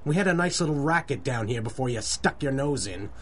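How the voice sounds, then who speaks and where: A man's voice: medium pitch, animated, fairly quick, a little hiss or hum, close to an everyday voice. Man playing a blustering old street racketeer. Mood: belligerent. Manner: blustering, confrontational. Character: belligerent old male neighbourhood racketeer. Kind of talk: 1930s radio drama acting.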